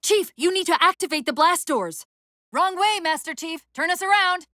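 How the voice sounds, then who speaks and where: A woman playing a female military AI companion in a video game, giving crisp tactical commands with dry, sardonic wit. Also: very high voice, animated, normal speed, bright, forceful tone, no echo, full character voice. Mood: urgent, alarmed.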